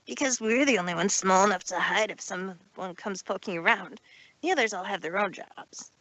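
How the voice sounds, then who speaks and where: A woman's voice: mid-range voice, brisk, a bit bright, no echo, rough recording. Woman voicing a confident young boy dragon, dry sound. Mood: confident, explaining. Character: young male baby dragon, confident helper. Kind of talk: fan fiction audio drama reading.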